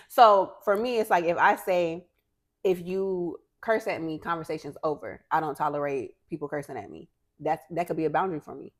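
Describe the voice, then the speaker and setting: A woman's voice: deep voice, moderate pace. A young adult woman co-hosting a podcast, talking animatedly and asking questions in casual chat. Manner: animated, questioning, laughs often. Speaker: podcast co-host.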